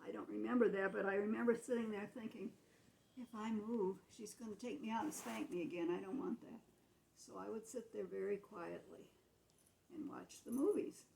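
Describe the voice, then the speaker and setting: A woman's voice: medium pitch, steady tone, normal speed, gravelly, a little mellow, slight background noise, poor audio. An American woman in her eighties telling childhood memories to family in a casual, explanatory, matter-of-fact way. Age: 80s. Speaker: grandmother recalling her childhood.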